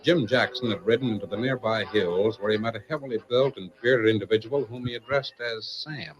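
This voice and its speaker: A man's voice: lowish voice, brisk, close to an everyday voice, poor audio. A man acting as the dramatic narrator of a western serial in 1940s radio style. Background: music.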